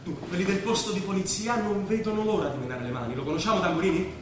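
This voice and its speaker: An actor playing a bossy, scheming leader of evicted refugees, commanding and urgent in a stage drama. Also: male voice, sits high, fast talker, natural voice. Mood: rallying. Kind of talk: staged radio drama acting. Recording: echoey stage from far off, noisy.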